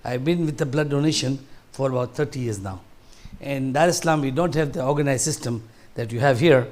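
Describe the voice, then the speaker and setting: A man's voice: mid-range voice, brisk, a little mellow. A man in his sixties from Tanzania, giving a conversational talk to a seniors group in a large hall, rambling and restarting his sentences. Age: 60s. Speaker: guest speaker.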